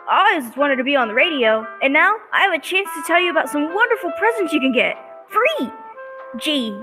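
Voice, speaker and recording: A woman's voice: high voice, poor audio. A woman playing an eager, wholesome young boy selling treats in an old radio advertisement, earnest and gee-whiz. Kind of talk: 1930s radio advertisement acting.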